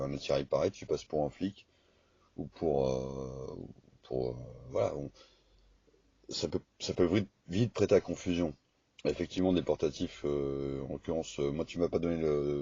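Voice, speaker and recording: A man with a very low, mellow voice rambles hesitantly through a casual two-way radio chat. The recording is dry and a little dull, and his speech is loose and colloquial. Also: husky, no echo. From France. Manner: rambling, hesitant, colloquial, often ending on "quoi" and "hein". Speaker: radio amateur operator.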